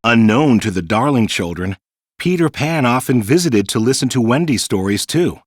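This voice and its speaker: A man reading a children's storybook in an expressive, clearly paced storytelling manner. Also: mid-range voice, normal speed, no echo, studio quality. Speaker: narrator. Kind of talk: scripted children's storybook narration.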